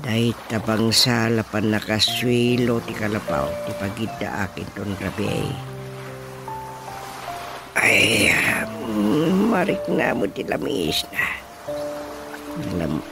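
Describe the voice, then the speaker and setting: Rustic young Ilocano fellow for radio comedy drama: plaintive, talkative, slow delivery, very lively intonation. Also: male voice, lowish voice, creaky, full character voice. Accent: Filipino, Ilocano. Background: music.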